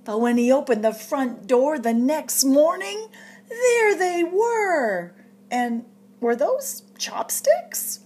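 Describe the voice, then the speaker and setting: A woman reading a picture book aloud to children in an expressive, dramatic storyteller's way, drawing out suspenseful phrases. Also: sits high, slow pace. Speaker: storytime reader at a public library.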